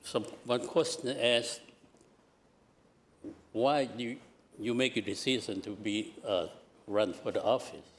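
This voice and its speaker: Older man with a Lao accent, slow-spoken. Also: lowish voice, steady tone. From southern Laos. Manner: halting, hesitant, restarts phrases. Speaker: retiring commissioner. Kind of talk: farewell remarks at a public meeting. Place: council meeting chamber.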